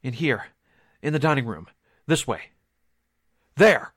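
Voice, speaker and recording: A man's voice: mid-range voice, expressive, slow pace, husky, no echo, studio quality. A man playing an anxious, flustered newspaper assistant with breathless run-on talk, in 1940s radio drama style. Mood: panicky. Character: jittery, anxious young-sounding male column assistant.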